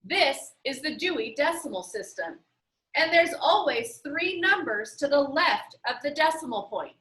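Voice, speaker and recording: A woman reading the part of an eager, excitable, slangy boy in a children's storybook. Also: sits high, a little slow. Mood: explaining. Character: eager, enthusiastic boy on a game team. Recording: roomy, echoey sound.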